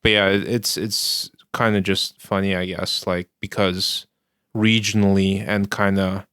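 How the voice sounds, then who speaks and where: A young man from Canada chatting casually on a podcast, hesitant and full of fillers, with a monotone delivery. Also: sits low, unhurried, clean tone, dry recording, clean studio sound. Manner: hesitant, filler-heavy, self-described monotone. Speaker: podcast co-host. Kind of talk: casual chat.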